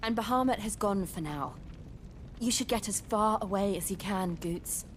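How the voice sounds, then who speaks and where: An actress playing a steadfast, caring young warrior in a video game drama, earnest and resolute. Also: female voice, mid-range voice, normal speed, a little hiss or hum, lightly acted. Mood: concerned, urgent. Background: orchestral music.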